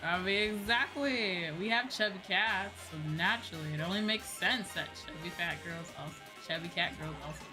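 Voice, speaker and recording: A woman's voice: on the low side, normal speed, few pauses. A woman livestreamer chatting casually while gaming, rambling with asides and swearing freely in an informal way. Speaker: livestreamer, VTuber host. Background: music behind the voice.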